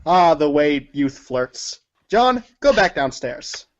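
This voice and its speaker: A man's voice: on the high side, big pitch swings, slow. A man narrating a read-along story in the second person, giving commands to a character. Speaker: narrator of a group reading. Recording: dull lo-fi audio.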